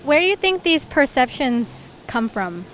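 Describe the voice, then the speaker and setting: A woman's voice: high-pitched, big pitch swings, a little slow, fairly bright tone. A woman reporter asking interview questions. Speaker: street reporter. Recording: outdoor street with faint traffic.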